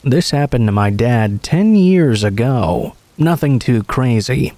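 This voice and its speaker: Guy reading scary stories like a narrator, measured, medium pitch, really dry recording. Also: animated, dark tone, no room sound. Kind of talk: scripted first-person story narration.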